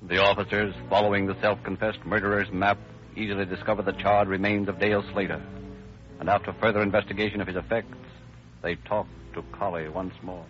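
A man's voice: sits low, flat delivery, brisk. An American man narrating and announcing in formal, polished, declamatory 1930s radio style. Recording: dull old radio recording. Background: music.

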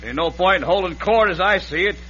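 Aggrieved frontier sheriff, Western American accent, high sharp voice, old radio drama. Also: male voice, brisk. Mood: protesting. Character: aggrieved small-town Western sheriff, stubborn and folksy. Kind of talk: 1940s-50s radio western acting. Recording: muffled old radio recording, faint noise.

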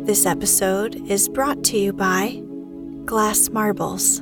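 Woman, bedtime story narration, slow pace, soothing, mid-pitched, faint background music. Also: fairly even intonation. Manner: soothing, unhurried, hushed. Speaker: podcast host and bedtime story reader. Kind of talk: slow sleep-podcast narration.

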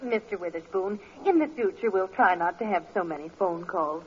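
An actress playing a patient, wryly affectionate middle-aged wife in a vintage radio comedy. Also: female voice, on the low side, normal speed, lightly acted. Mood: polite, reproachful. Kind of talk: 1940s radio comedy acting. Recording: muffled, noisy old radio recording.

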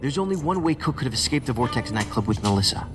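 An actor playing a stubborn, reckless federal agent in an audio drama, speaking in a dry, insistent, clipped way. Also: male voice, medium pitch, sing-song, fast, over music, natural voice. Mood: urgent, intense.

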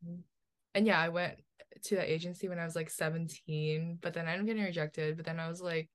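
Female guest, twenties, casual and laid-back, lower pitch, lots of "like". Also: steady tone, fast. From Philippines, raised in New Jersey. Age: 20s. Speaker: guest, model and college student. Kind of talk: casual interview chat.

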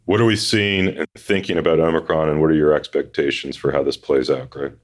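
A man with a hoarse voice hosting a conversational finance podcast, explaining his points in a measured way. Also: a bass voice, fairly even intonation. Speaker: podcast host.

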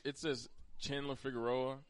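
A man's voice: medium pitch, flat delivery, moderate pace, smooth, dry recording. An American man hosting a podcast, chatting casually and conversationally with a teasing streak toward his co-host. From Pennsylvania, United States.

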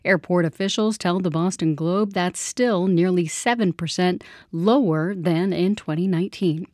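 A woman hosting a morning radio news program, reading scripted news in a measured, even way. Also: on the low side, dry recording.

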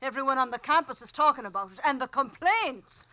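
A scolding, brisk cleaning woman, high and sharp; old-time radio. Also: animated, muffled recording. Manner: bossy, scolding. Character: brisk bossy middle-aged cleaning woman. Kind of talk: 1940s radio acting.

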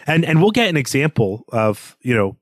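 A man's voice: medium pitch, big pitch swings, normal speed, no echo, studio quality. A man co-hosting a podcast, discussing a book casually and thoughtfully, reasoning his way through it conversationally. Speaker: podcast co-host.

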